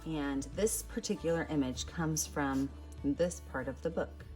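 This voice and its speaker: A woman's voice: low voice, a little slow, darkish tone, rough recording. A woman art teacher hosting an instructional step-by-step tutorial, addressing viewers in a friendly, direct way. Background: background music.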